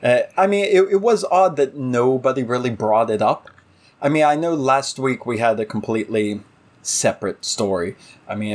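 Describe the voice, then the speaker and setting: A man co-hosting a podcast in a casual discussion, with a wry, playfully exaggerating way of talking. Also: mid-range voice. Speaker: podcast co-host.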